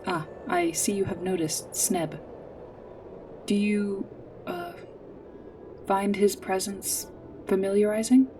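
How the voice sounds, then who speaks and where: A woman playing a polite, earnest android guide in a sci-fi ASMR roleplay, speaking in formal, precise, literal phrases with hesitant pauses. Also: mid-range voice, very animated, distant mic, noisy background. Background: music.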